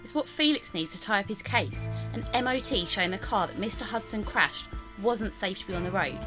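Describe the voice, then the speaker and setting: An actress playing a frank, determined investigator's assistant in a naturalistic audio drama. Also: female voice, medium pitch, lively intonation, fairly quick, over music, lightly acted. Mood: brisk, dry. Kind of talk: naturalistic radio drama dialogue.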